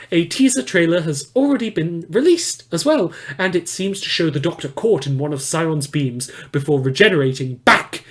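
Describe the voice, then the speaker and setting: A man's voice: on the high side, natural voice. A man playing a wry, mock-formal local radio newsreader reading a satirical scripted bulletin in a post-apocalyptic audio drama.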